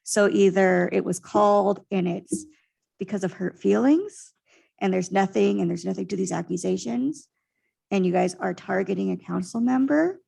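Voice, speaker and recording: Soft-voiced woman with gravelly fry. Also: medium pitch, normal speed. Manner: earnest, pointed criticism. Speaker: resident giving public comment. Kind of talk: public comment at a meeting.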